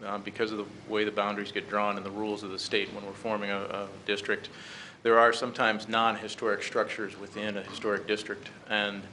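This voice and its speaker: A middle-aged American man giving a halting, measured formal briefing at a podium microphone. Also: fairly low pitch, completely flat delivery, sharp tone. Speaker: presenter to a city council. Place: council chamber, standing at a podium. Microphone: podium gooseneck microphone. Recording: clearly noisy meeting-room recording.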